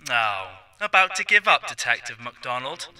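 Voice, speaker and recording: A man playing a taunting, theatrical serial killer villain in an amateur radio play, gloating in a mocking, drawn-out delivery. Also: medium pitch, very animated, pushed and bright.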